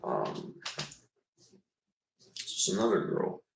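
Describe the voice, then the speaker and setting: A man's voice: takes it slowly, recorded from a distance, noisy background. A man in his twenties chatting casually on a livestream, rambling and meandering with self-deprecating remarks. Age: 20s. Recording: echoey room, away from the mic.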